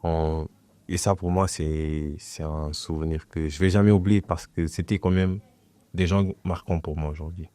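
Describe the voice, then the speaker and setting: A young man from Portugal whose first language is Portuguese, talking as a guest in a relaxed, hesitant way and often restarting his phrases. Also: deep voice, normal speed, no echo. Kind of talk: casual conversation.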